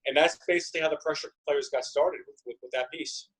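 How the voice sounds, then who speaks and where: A man speaking as a guest in a casual interview, explaining things in a halting, measured way. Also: a little high, fairly even intonation, a bit bright, breathy edge, low-quality recording. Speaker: interview guest, business manager.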